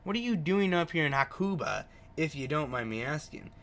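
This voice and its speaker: Man acting young male taxi driver, visual novel character, casual, inquisitive, friendly, forceful bright tone. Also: on the high side, expressive, a little fast. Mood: friendly, curious.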